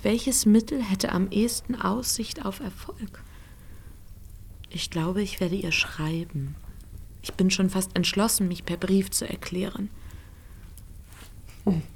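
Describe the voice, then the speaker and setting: A lovelorn young woman in a period radio drama. Her voice has a clear creak. She speaks with literary intensity, as if half to herself, principled and quietly anguished. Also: medium pitch, slow pace, pauses often, slight background noise. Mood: pensive, pained. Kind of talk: German radio play acting.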